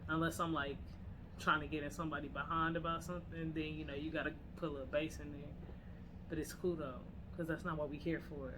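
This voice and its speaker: A woman's voice: low voice, level tone, fast, noisy background. A young woman co-hosting a casual podcast with her wife, playful and slangy, laughing often. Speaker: podcast co-host. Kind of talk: casual chat.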